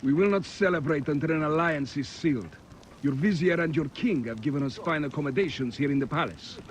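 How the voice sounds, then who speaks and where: An officious Roman military man voiced by a male actor for a game drama. He is stiff and formal, pitched a little high. Light noise sits behind him. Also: fairly quick, close to an everyday voice. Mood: formal caution. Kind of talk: video game dramatic acting. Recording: faint background noise.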